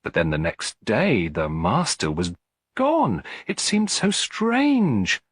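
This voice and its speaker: A man's voice: medium pitch, wildly swooping pitch, takes it slowly, slightly rough, no room sound, studio quality, pitched above the performer's own voice, full character voice. A man voicing a timid, anxious young housemaid in an audiobook mystery, tremulous, hesitant and deferential. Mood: nervous confiding.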